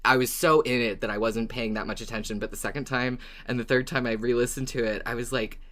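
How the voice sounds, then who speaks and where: A woman with a very low-pitched voice hosts a casual fan podcast. She talks with keen enthusiasm and leans hard on words for emphasis. Her language is relaxed and sometimes sweary. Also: fast talker. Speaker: podcast host.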